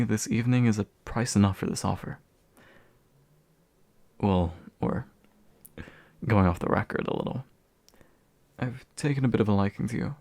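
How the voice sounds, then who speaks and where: A young man acting as a courteous, gentle demon of envy in a soft-spoken ASMR roleplay, formal and soothing with occasional hesitation. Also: fairly low pitch, gravelly, no echo. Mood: tender, warm. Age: young adult. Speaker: voice actor.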